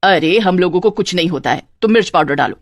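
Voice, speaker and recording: Cartoon mother-in-law, played by a female narrator: older, bossy, demanding, quick to scold. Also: fairly low pitch, animated, no room sound, studio quality.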